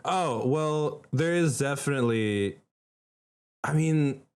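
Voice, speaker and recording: A man's voice: fairly high pitch, slow, a little mellow, clean tone. A young man from the Philippines chatting casually as a podcast co-host, in an informal, emphatic and slangy way. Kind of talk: casual conversational chat.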